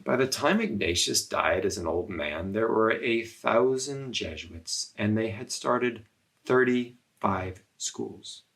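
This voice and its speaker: A man reading a children's story aloud as a storyteller, in an expressive, deliberate way with pauses between phrases. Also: fairly low pitch, vocal fry. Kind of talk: read-aloud storytelling narration.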